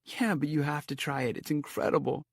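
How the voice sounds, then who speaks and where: A man voicing an eager, excitable young boy in an audiobook story. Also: a little high, brisk, vocal fry, slightly rough, no echo, clean studio sound, voice pushed up. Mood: eager, excited. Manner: eager, exclaiming. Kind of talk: audiobook character voice.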